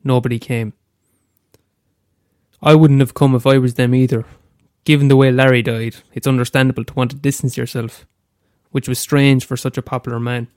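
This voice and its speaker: A man's voice: medium pitch, little pitch movement, moderate pace, darkish tone, clear, resonant tone, dry recording, studio quality. A young Irish man with a head cold, reading aloud a short story he wrote, after a hesitant casual introduction. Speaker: amateur storyteller.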